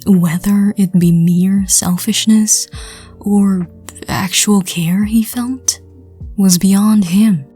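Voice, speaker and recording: Brief: a woman narrating and hosting her audio roleplay channel, soft and whispery, unhurried. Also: mid-range voice, steady tone, breathy edge, soft background music.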